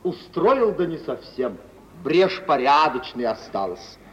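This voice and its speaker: An actor playing a breezy, swaggering spendthrift gentleman, jovially cynical and playfully familiar, in an old Russian radio drama. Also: a little high, very animated, slow. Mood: breezy, rueful. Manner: jaunty, theatrical, playfully familiar. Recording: muffled old radio recording, distant, hissy.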